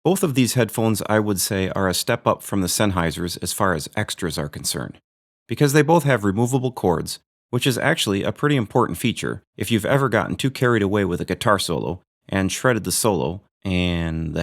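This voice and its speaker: A man's voice: fairly low pitch, animated, a little fast. A man hosting a gear review video, narrating from a script with dry, joking asides.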